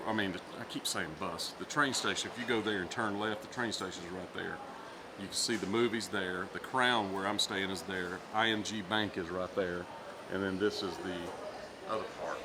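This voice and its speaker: Chatty man vlogging on a noisy street. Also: on the low side. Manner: chatty, addresses viewers as friends. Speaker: travel vlogger. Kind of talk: casual walking-tour vlog. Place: outdoors in a city square. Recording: noisy outdoor street recording.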